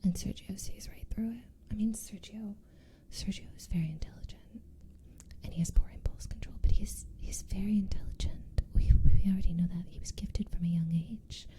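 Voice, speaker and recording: A woman's voice: mid-range voice, a little fast, dark tone. A woman whispering a casual recap of a TV series, with lots of "like" and "you know".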